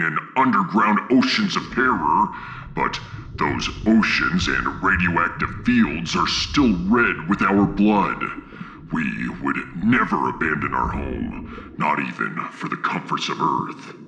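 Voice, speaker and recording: An actor playing a solemn, fierce warrior from a harsh moon, with a gravelly voice and grave, proud, formal speech in a science fiction audio drama. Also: male voice, very deep voice, sharp tone, slight background noise, exaggerated voice.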